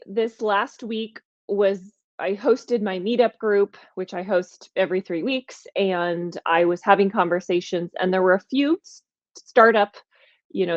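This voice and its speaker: Energetic woman hosting a podcast. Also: medium pitch, no echo, muffled recording. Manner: energetic, emphatic, thinking aloud with restarts. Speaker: podcast host. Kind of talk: conversational podcast talk.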